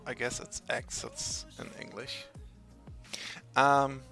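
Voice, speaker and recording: Slow, halting German-accented man. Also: medium pitch, fairly even intonation, gravelly, sharp tone, soft background music. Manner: slow, halting, searches for English words. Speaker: tutorial maker. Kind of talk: screen-recorded software tutorial.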